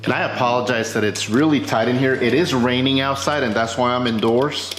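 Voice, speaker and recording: Guy doing a chatty car install video indoors, roomy echo, music underneath, mid pitch voice. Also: fairly even intonation, brisk, fairly bright tone. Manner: conversational, explanatory. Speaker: video host demonstrating car accessory installation. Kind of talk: casual unscripted product unboxing and how-to talk. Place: tight indoor space, roomy sound.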